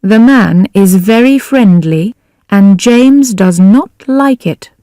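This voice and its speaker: A woman reading a simple story for language learners in a deliberate, carefully enunciated scripted narration. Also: medium pitch, lively intonation, dark tone, no echo, clean studio sound. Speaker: audiobook narrator.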